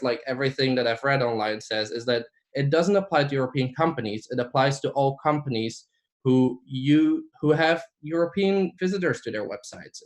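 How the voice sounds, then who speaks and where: Belgian man giving a casual tech talk. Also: medium pitch. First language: Dutch. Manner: frequent ums and likes, casual. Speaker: conference speaker. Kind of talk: conference talk.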